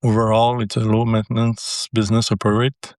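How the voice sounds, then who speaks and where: Older quebec guy w/ french accent, low flat voice, careful halting english, dry sound. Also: no echo. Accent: Québécois French. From Quebec, Canada. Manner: careful, halting non-native phrasing. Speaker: business seller. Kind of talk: interview answers.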